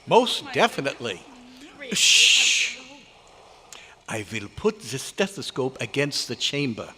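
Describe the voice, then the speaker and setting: An actor playing a stern, excitable scientist with a German accent and formal, emphatic phrasing in an old-time radio drama. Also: male voice, medium pitch, takes it slowly, heavily acted. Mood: instructive, proud. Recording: echoey live hall recording, faint noise.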